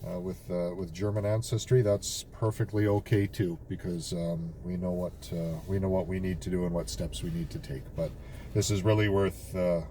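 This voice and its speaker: A Canadian man vlogging outdoors in a halting, casual way, full of fillers and restarts. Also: sits low, steady tone, far from the mic. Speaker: vlog host. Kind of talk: casual vlog talk, outdoors. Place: outdoors near a road with cars. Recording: outdoor street recording with loud traffic noise. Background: loud traffic and street noise.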